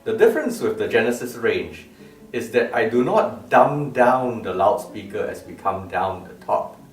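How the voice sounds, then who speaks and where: Man, low voice, informal product presenter, emphatic, repeats words, echoey room, soft music behind. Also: big pitch swings, moderate pace. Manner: emphatic explainer, repeats words and asks rhetorical questions. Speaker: loudspeaker designer presenting his product line. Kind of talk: informal product talk to camera. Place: medium-sized living-room-like room indoors. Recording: roomy, distant mic with music behind. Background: plucked lute music.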